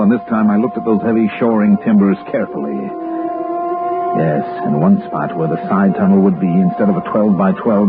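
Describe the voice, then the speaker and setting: An actor playing a shrewd insurance investigator in a 1960s radio detective drama, narrating tersely. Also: male voice, lowish voice, narrow, tinny audio, lightly acted. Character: cool, shrewd male insurance investigator narrating his case. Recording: thin vintage radio recording, music behind.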